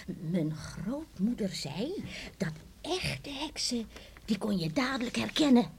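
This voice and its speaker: A high, animated superstitious grandmother. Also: slow, creaky, slight background noise, exaggerated voice. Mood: eerie, confiding. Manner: animated, chuckling, dropping to hushed suspense. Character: lively superstitious grandmother telling eerie witch tales. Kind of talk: radio drama acting, storytelling.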